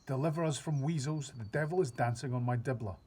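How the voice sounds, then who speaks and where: A man reading aloud, voicing an excitable big friendly old male giant who mangles his grammar and invents words. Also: medium pitch, a little fast, slightly rough. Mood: distressed. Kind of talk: reading a children's book aloud.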